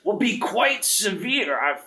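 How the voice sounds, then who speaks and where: A jittery old professor with a thin, high voice that flutters up and down, as a game master puts him on. He fusses and chortles in a lively, anxious way. The sound is roomy and a little distant. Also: slow, full character voice. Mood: fretful. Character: fussy, nervous old male professor. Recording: echoey room, mic at a distance.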